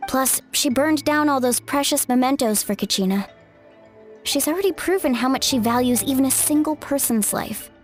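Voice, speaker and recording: A woman's voice: high-pitched, moderate pace, faint music behind, close to an everyday voice. A woman playing an upbeat, loyal young female adventurer in a video game, with a breezy, friendly manner. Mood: earnest. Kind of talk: video game character acting.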